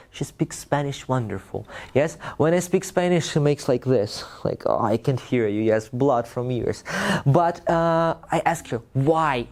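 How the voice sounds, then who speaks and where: Animated man with a Russian accent. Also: on the high side. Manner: animated, laughs often, frequent restarts. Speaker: workshop host. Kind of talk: casual group discussion.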